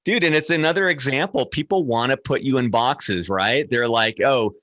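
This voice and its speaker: A man's voice: mid-range voice, moderate pace, a bit bright, no room sound. A man appearing as a podcast guest, talking in an encouraging way and often answering with short affirmations. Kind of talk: casual podcast conversation.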